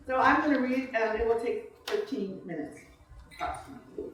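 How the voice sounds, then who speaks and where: A woman's voice: on the high side, expressive, brisk. Echoey, distant woman author at a live reading. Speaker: author introducing and reading own book. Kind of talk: informal live talk, then slow literary reading. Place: room at a live book reception. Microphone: distant microphone. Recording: echoey room, far mic, faint noise.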